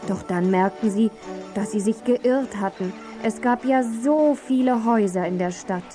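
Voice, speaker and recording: An actress playing the storyteller of a children's audio play, reading scripted storybook narration. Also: female voice, mid-range voice, normal speed, music in the background, lightly acted. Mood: narrating.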